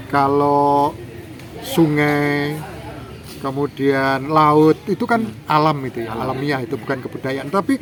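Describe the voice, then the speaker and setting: A man from Java, an interview guest explaining culture in a lecturing, emphatic way. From Java, Indonesia. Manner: lecturing, emphatic, lively rises in pitch for examples.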